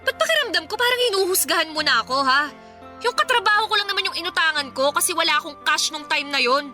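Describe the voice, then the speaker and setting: A woman's voice: very high-pitched, expressive, fast talker, pushed and bright, exaggerated voice. An actress playing an evasive, easily irritated young girlfriend in a radio drama, with a Filipino accent. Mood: indignant. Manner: defensive, scolding. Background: faint music.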